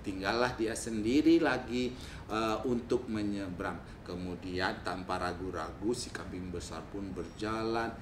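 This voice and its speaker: A man's voice: lowish voice, measured pace. A man telling a folktale to children as a storyteller. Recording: echoey room with faint noise.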